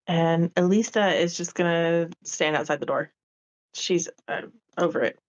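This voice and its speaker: A woman's voice: on the low side, unhurried. A woman playing a tabletop roleplaying game, talking casually as she suggests what her character will do.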